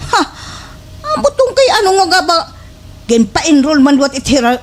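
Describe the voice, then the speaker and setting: A woman's voice: high voice, very animated, normal speed. A woman with a Waray accent playing an envious, scheming, easily enraged village gossip in a slapstick radio drama, with exaggerated, wailing delivery. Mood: indignant.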